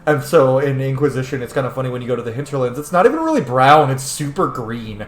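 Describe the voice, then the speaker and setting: Animated man chatting over a game, roomy sound. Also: mid-range voice, fast. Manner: chatty, informal, musing. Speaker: let's player. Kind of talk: casual game commentary. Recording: roomy echo with faint background noise.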